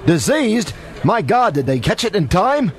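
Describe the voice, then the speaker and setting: A man's voice: high voice, very animated, a little slow, slight background noise. Man acting a brash, swooping radio detective. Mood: clueless, flippant. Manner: cocky, slangy, oblivious chatter. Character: brash, clueless, wisecracking male hard-boiled police detective. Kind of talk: comic old-time radio detective drama acting.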